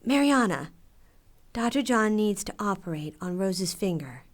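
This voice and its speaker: A woman acts a strong-minded mother in an audio drama. She speaks slowly and earnestly, her pitch moving freely, with a medium-high everyday register. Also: sing-song. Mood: worried.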